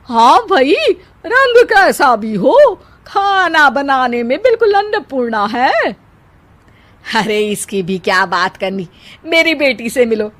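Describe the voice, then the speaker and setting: Cartoon mother-in-law, middle-aged woman actor: high voice, drawn-out taunts, dramatic and spiteful. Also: big pitch swings, a bit bright, full character voice. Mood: boastful. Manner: scolding, taunting, exclamatory.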